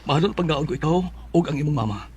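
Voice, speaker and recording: A man's voice: on the high side, lively intonation, fast, darkish tone, husky. A man playing an eager, affectionate new stepfather in a radio drama, hesitant and coaxing, with a Filipino accent. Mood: coaxing, earnest.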